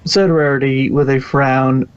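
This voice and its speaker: A man's voice: mid-range voice, steady tone, unhurried, smooth, no echo, muffled recording. A man reading fan fiction aloud as the narrator, close to the microphone.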